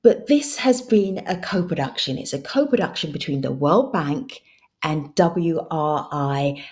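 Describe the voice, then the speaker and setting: A woman hosting a virtual conference, addressing the audience directly and repeating words for emphasis. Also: sits low. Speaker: event host, moderator. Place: virtual event link.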